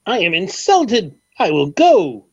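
A man's voice: high voice, huge pitch swings, slow, vocal fry, a little mellow, breathy edge, no room sound, heavily acted. An actor playing a haughty, wealthy eccentric man in an old radio comedy, theatrical and easily affronted. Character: wealthy, eccentric, huffy male egg expert. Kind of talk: 1940s radio comedy acting. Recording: dull old radio recording.

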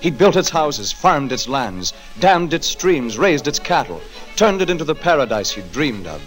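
A man's voice: on the high side, very animated, moderate pace, fairly bright tone, soft background music, rough recording. A man narrating a scripted 1940s radio drama in a solemn, declamatory manner. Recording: 1940s radio broadcast recording.

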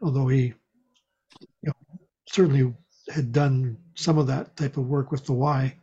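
A man chatting casually and telling personal stories in a wry, unhurried way. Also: mid-range voice, steady tone, mellow, breathy edge. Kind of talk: casual chat, personal storytelling. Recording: dull, muffled lo-fi sound.

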